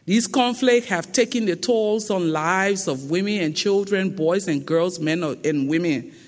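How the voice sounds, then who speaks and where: A woman with a thick Liberian accent giving a public lecture in a slow, deliberate and emphatic way. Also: on the low side. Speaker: guest speaker. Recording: long reverb of a lecture hall.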